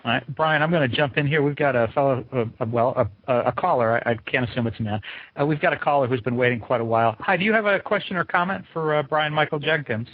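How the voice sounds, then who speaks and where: A man's voice: medium pitch, quick pace. A man hosting an interview show in a casual, conversational way as he handles guests and callers. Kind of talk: casual hosting, taking calls. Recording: thin, phone-like band-limited sound.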